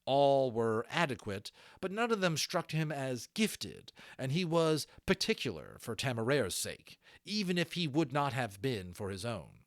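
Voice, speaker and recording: Animated man narrating an adventure novel. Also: medium pitch, unhurried, few pauses, dry recording, clean studio sound. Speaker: audiobook narrator. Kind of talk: expressive storybook narration with brief asides to listeners.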